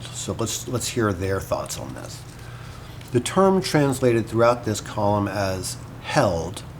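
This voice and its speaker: An actor playing a scholar who muses hesitantly over notes on an ancient myth in an audio drama, pausing between short phrases, with noise behind him. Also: male voice, fairly low pitch. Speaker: scholar and commentator. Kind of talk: audio drama monologue as informal annotated commentary.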